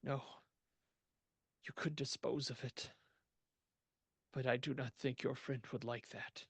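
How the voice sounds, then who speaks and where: A man putting on a haunted mystic from a tabletop game who speaks in a slow, halting, flat way. His voice sits a little higher than his own and comes across dry and close. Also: breathy edge, dry recording.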